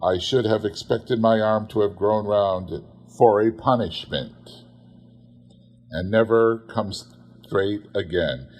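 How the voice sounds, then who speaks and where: Male storyteller, unhurried delivery, slightly deep pitch, measured, theatrical, expressive literary narration. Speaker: audiobook narrator.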